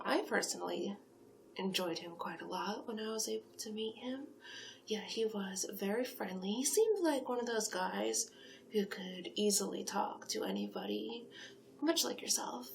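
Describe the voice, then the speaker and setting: A woman playing a flattering, gossipy sphinx matchmaker in a soft-spoken, whispery ASMR roleplay. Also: mid-range voice, gravelly, slight background noise. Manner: coaxing, flattering, chatty, whispery in places.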